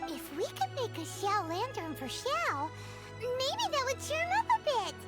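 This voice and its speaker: A woman voicing a small, childlike, bubbly and naive fairy companion in an animated video game, squeaky and eager. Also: unhurried, heavily acted. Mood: curious, eager. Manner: exclaims eagerly, refers to self in third person. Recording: loud music behind the voice. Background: game music.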